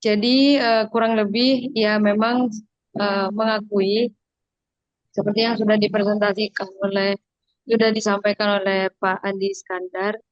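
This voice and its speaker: A woman's voice: medium pitch, little pitch movement, measured pace, dry recording. A young woman sharing her view on a video call, hesitant and full of fillers. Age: young adult. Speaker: online forum participant. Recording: dull dry video call audio.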